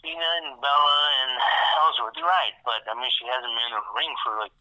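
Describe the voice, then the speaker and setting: A man's voice: medium pitch, fairly quick, sharp tone. A man calling in by phone to co-host a podcast, joking and swearing casually. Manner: joking, profane. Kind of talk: casual chat. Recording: tinny phone line.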